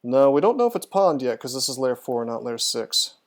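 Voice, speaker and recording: A man's voice: mid-range voice, big pitch swings, fairly quick. Man doing casual game-stream commentary. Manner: thinks aloud, chatty. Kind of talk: casual live gameplay commentary, talking through moves and answering chat.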